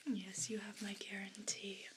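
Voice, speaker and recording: A woman voicing a vain, pompous evil house cat in a breathy whisper for an ASMR role play. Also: medium pitch, little pitch movement, background noise, close to an everyday voice. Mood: menacing, haughty. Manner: pompous, deliberate, formal wording.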